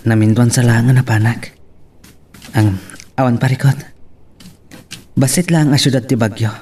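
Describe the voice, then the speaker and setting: A man's voice: mid-range voice, moderate pace, darkish tone, lightly acted. Earnest young man narrating, faint noise behind male actor. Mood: reflective. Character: lovelorn young man narrating his own love story, earnest. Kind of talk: first-person narration in Ilocano audio drama.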